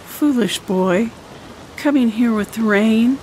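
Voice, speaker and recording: A woman's voice: on the high side, slow pace, a little mellow, a little hiss or hum, rough recording. A woman playing a blunt, grumbling, self-deprecating old woman in an audio drama.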